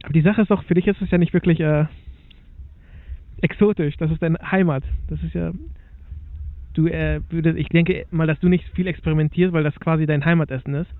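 A man's voice: fairly high pitch, steady tone, a little fast, no room sound. A man hosting a casual interview, rambling and restarting his questions. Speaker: host. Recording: tinny dry phone-like sound.

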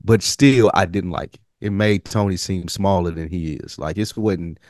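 A man's voice: sits low, normal speed, a little mellow, dry recording, clean studio sound. An American man co-hosting a casual TV review podcast, blunt and slangy, swearing freely. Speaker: podcast co-host.

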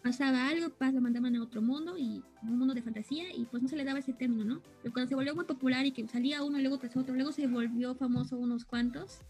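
Chatty high-voiced young woman. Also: fast talker, few pauses, a little mellow, faint music behind. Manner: chatty, searches for words, trails off. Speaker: co-host explaining anime terms. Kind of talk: casual chat.

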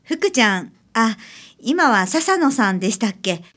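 A woman's voice: fairly high pitch, sing-song, a little slow, a bit bright, exaggerated voice. A woman in a radio drama playing a polite, wistful young bride-to-be. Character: polite, wistful young bride-to-be, once a lonely transfer student. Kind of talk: scripted radio drama acting.

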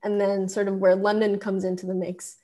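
Young adult female interviewee. Relaxed, low-key tone with flat intonation, conversational. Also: brisk. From Japan. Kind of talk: casual conversational personal account.

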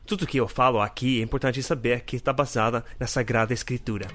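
A man's voice: medium pitch, steady tone, quick pace, over music. A young American man hosting his own podcast, speaking Portuguese as a learner with an English accent, friendly and earnest, joking and stumbling over words. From North America. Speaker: podcast host. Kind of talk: casual solo podcast monologue.

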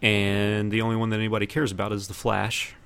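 A man hosting a podcast in casual chat, often pausing partway through his sentences. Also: on the low side, completely flat delivery, creaky, fairly bright tone, clear, resonant tone. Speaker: podcast host. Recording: noticeable background noise.

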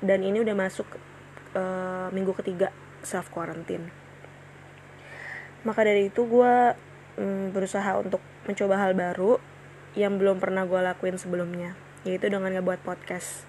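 A woman's voice: mid-range voice, little pitch movement, normal speed, pauses often, a little mellow, slight background noise. A woman in her twenties hosting her own casual solo podcast, chatting in slang with many fillers and restarts. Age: 20s. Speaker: podcast host.

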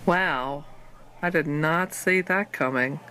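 A woman's voice: low voice, slow, pushed and bright, slight background noise. A woman co-hosting a podcast in casual, chatty banter. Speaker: podcast co-host.

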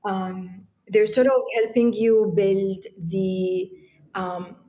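A woman's voice: medium pitch, fairly even intonation, extremely slow, warm tone, low-quality recording. A young woman from Libya, talking as an interview guest, hesitant with many ums and restarts. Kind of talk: casual interview talk.

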